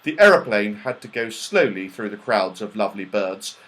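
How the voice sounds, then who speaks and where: A man reading a children's story aloud in an animated, carefully enunciated way, as an audiobook narrator. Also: fairly low pitch, moderate pace, low-quality recording. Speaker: audiobook reader.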